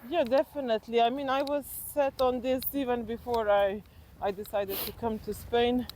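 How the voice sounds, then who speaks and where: Female, middle-aged. Informal interview guest, talking on foot outdoors with clear background noise. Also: on the high side, steady tone, moderate pace, darkish tone, poor audio. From Slovenia. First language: Slovenian. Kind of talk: casual reflective chat while walking. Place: outdoors on a walking trail.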